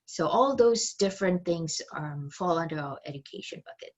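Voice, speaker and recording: Lady running a video call orientation, lowish voice, lots of ums, trails off. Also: expressive, normal speed. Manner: conversational, many ums, trailing off at phrase ends. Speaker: chamber staff presenter. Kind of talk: virtual orientation talk.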